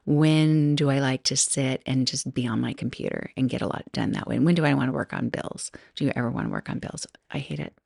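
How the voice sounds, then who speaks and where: A woman's voice: extremely low pitch, vocal fry, a little mellow, no room sound, clean studio sound. A middle-aged woman hosting a solo podcast, chatting casually and rambling, often laughing and interrupting herself. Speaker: podcast host.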